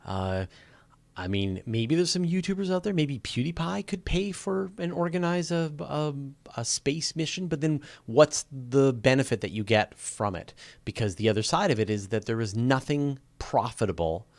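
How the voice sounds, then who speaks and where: A man's voice: mid-range voice, animated, moderate pace, vocal fry, darkish tone, no echo. A man hosting a live question-and-answer stream, explaining things conversationally and thinking aloud as he stresses his key points. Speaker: host of a live space and astronomy Q&A.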